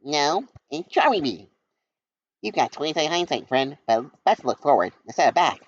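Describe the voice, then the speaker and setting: Cheeky male bee kid, chirpy and higher, a man voicing him, lo-fi. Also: sing-song, moderate pace, dry recording. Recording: dull lo-fi recording.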